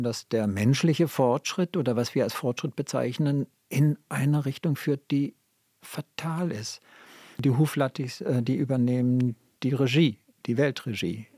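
Older male academic interviewee, dark husky voice, reflective and halting, close dry sound. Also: mid-range voice, no echo. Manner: halting, searching, emphatic repetition. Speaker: literary scholar, interview guest. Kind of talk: reflective spoken interview answers.

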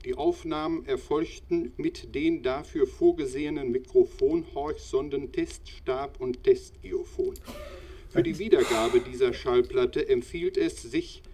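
An actor playing an earnest narrator of an old-fashioned technical instruction record, speaking formally, precisely and pedantically. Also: male voice, mid-range voice, a little slow, dark tone, lightly acted. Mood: earnest, instructive.

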